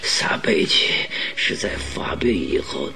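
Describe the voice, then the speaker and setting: An actor playing a gravely sick detective in a radio drama, breathy and groaning, pleading in halting, labored words. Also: male voice, pushed and bright. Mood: weak, probing. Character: gravely sick male detective, weakly pleading with his enemy. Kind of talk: Mandarin radio drama acting.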